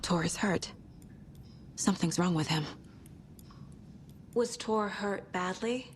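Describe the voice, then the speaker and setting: A woman playing a level-headed investigator in a game, measured and questioning. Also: mid-range voice, slight background noise, close to an everyday voice. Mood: composed. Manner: measured, questioning, muttering to herself. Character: focused, level-headed adult female investigator.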